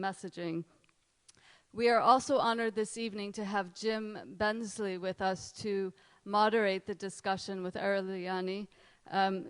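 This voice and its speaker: Halting woman host with a smooth voice. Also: medium pitch. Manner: halting, many ums. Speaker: event host. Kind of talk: introducing speakers. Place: lecture hall. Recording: reverberant hall.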